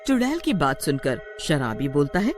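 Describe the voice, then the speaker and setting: Animated horror-story narrator over music. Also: mid-range voice, normal speed. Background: background music.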